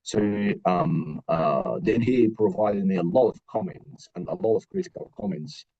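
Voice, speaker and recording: A man with an Afghan accent giving an informal academic webinar talk as a researcher, haltingly searching for words and repeating himself. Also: on the low side, wildly swooping pitch, vocal fry, dark tone. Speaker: PhD researcher and university teacher presenting. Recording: dull, roomy webinar audio.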